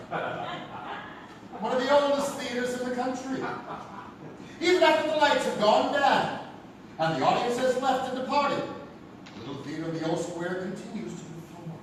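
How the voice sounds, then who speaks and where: A man delivering grandiose, dramatic scripted narration for an anthology show on a theatre stage. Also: very high voice. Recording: distant, very echoey theatre with noise.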